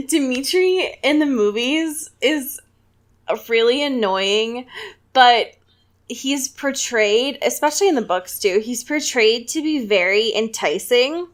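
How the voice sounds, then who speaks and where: Woman co-host, casual book chat, slightly high pitch, slow delivery, exasperated fangirl drama. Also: expressive. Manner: giggly, laughs often. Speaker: podcast co-host.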